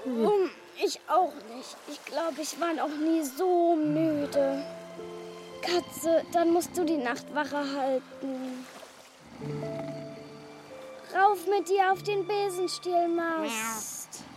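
A woman's voice: very high-pitched, slow pace, music in the background. An actress playing a bold, bossy, adventurous young girl captain, cheeky and commanding in a children's radio play. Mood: sleepy.

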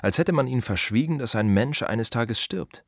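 Weary wry gravedigger in a radio play, husky voice, close dry sound, short punchy repeats. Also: male voice, medium pitch, expressive, moderate pace, no echo. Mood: dry, reflective. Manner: wry, emphatic, repeats short phrases, mimics others. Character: world-weary, wry male gravedigger musing on death and the city. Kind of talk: radio play monologue, conversational and rhythmic.

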